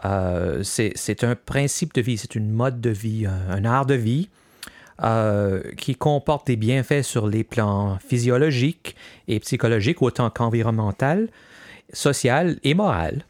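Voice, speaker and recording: A man's voice: mid-range voice, measured pace, a little mellow, no echo. A man hosting a podcast, hesitating often with fillers and restarts, his first language French though he now lives mostly in English. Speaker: podcast host. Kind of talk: scripted podcast introduction.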